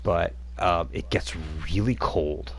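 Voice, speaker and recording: A man's voice: low-pitched, slow pace, gravelly, a bit bright, a little hiss or hum. A man narrating a tabletop game as game master, rambling with many fillers and restarts.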